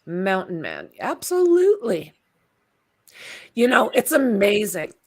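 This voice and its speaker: A woman hosts a laid-back livestream chat from home. She talks in a loose, wandering way, full of fillers and asides. Her voice sits at an ordinary middle pitch. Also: slow. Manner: rambling, relaxed and chatty, with frequent fillers. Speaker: livestream host. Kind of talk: casual chat.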